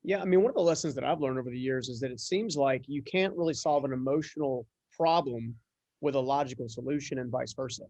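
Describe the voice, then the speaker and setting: A man's voice: mid-range voice, fast, a little mellow, dry recording. A man hosting a conversational podcast interview, talking in an earnest, wordy and reflective way. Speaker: interview host.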